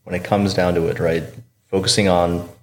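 A young man from Colorado chats as a podcast guest, telling stories in a loose, halting way. His voice sits very low with a gravelly fry. He restarts his sentences often. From Denver, Colorado. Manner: relaxed, halting storytelling with restarts. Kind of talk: casual interview chat.

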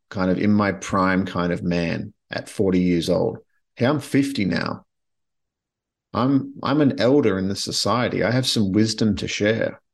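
A man's voice: on the low side, creaky. A man hosting a podcast, talking bluntly and with strong opinions in a casual monologue, often restarting his sentences. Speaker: podcast host.

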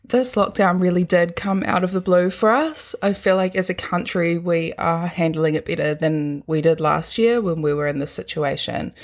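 A young woman with a New Zealand accent and a husky voice, hosting a podcast in an upbeat, earnest monologue. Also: fairly low pitch, normal speed, few pauses. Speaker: podcast host.

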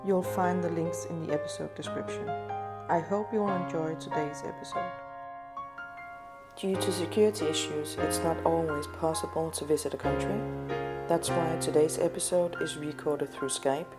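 Low-voiced woman hosting a podcast. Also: fairly even intonation, normal speed, long pauses, loud music behind the voice. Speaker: podcast host. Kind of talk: scripted intro and interview questions.

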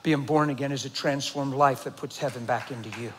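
A pastor in his fifties preaching a sermon, swinging from low, quiet passages to shouting intensity. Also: steady tone, fairly quick, noisy background. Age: 50s. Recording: long hall reverb.

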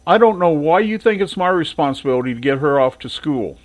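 A man's voice: on the high side, lively intonation, a little fast, natural voice. A man reading a weary teenage brother. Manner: pleading then firm.

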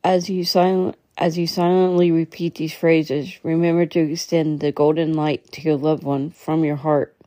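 Low-voiced woman guiding a meditation. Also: little pitch movement, normal speed, hardly any pauses, warm tone, dry recording. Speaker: meditation guide. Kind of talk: guided meditation, slow instructional reading.

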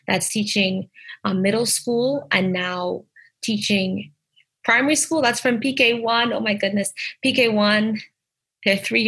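A young adult woman with a Bahamian accent, a teacher answering interview questions in a lively, emphatic way. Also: mid-range voice, gravelly, slightly rough, low-quality recording. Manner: lively, emphatic, restarts phrases.

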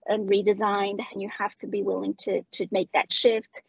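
A woman from Medellín, Colombia, with a Colombian accent and Spanish as her first language, speaking as a guest in a casual interview, often pausing and restarting. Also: medium pitch, flat delivery, creaky, husky, no echo, muffled recording. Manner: hesitant, many restarts and fillers. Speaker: guest, customer success director.

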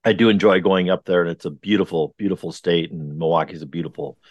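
A man hosts a morning podcast, talking in a rambling, earnest way and filling pauses with ums and uhs. His voice sits fairly low. The sound is dry and close, with little room behind it. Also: fairly even intonation, no echo, lo-fi sound. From Wisconsin. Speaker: podcast host. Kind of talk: casual podcast chat. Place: remote recording.

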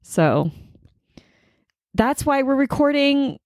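A woman co-hosting a podcast in casual chat, playful and quick to laugh. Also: high-pitched, big pitch swings, no echo, clean studio sound. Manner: chatty, laughs often, light and playful. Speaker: podcast co-host.

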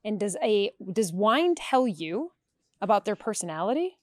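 A woman's voice: mid-range voice, sing-song, normal speed, a little mellow, dry recording, clean studio sound. A woman from New York who hosts an interview, asking questions in a playful, teasing way. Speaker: host, interviewer. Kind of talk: casual interview chat.

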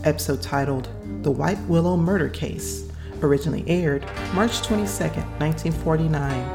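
A woman's voice: low voice, normal speed, creaky. A woman podcast host reading a scripted introduction. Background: music.

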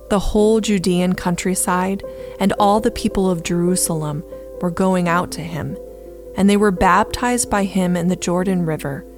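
A woman giving a solemn, measured reading of scripture, phrase by deliberate phrase. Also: lowish voice. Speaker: scripture reader. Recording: soft new-age music underneath. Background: instrumental new-age music.

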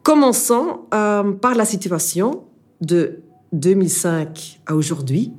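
A woman's voice: medium pitch, animated, takes it slowly. A Flemish woman hosting an interview podcast in a language not her own, friendly and searching for words. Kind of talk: interviewer questions.

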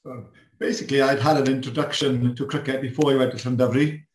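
A Welsh-speaking man with a Welsh accent, reminiscing casually with many pauses and fillers. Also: medium pitch, reverberant. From Wales. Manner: relaxed, rambling with many fillers. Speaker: guest, former pupil. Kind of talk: reminiscing in a group video chat.

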